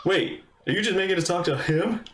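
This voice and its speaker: A woman's voice: lowish voice, animated, a little fast, echoey room, recorded from a distance. A woman streamer giving playful, casual reaction commentary on a game.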